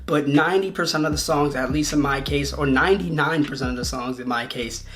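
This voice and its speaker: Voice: medium pitch, brisk, fairly bright tone, a little hiss or hum. A video host, delivering conversational video essay commentary.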